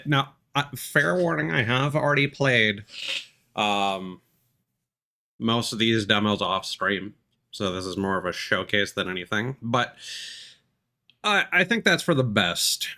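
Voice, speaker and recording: A man commentates on a game stream in a casual, informal way. His pitch swings around in a lively manner and his pace is unhurried. Game music plays prominently behind him. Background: video game music.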